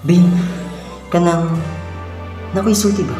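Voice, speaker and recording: A man's voice: high voice, slow pace. A man playing an earnest young son and boyfriend in an amateur radio drama, hesitant and pleading. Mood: hesitant, coaxing. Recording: echoey, with loud music behind.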